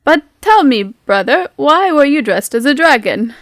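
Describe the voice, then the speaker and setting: A woman playing a polite, puzzled nobleman, an older brother, in a tabletop game, in a formal, measured manner. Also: high-pitched, sing-song, dry recording.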